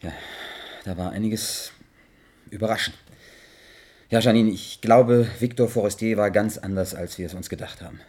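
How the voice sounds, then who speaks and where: An actor playing a troubled, earnest investigator in a German science fiction radio drama, with a husky voice. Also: male voice, on the low side, steady tone, moderate pace, long pauses, darkish tone, lightly acted. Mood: uneasy. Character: troubled, restless male investigator, earnest and insistent.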